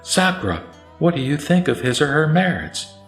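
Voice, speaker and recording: A man reciting the Buddha's part in a scripted sutra audio drama, as a serene, authoritative teacher with solemn, deliberate phrasing. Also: a little high, sing-song. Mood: serene, instructive. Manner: solemn, didactic, deliberate listing. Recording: roomy echo, music bed underneath.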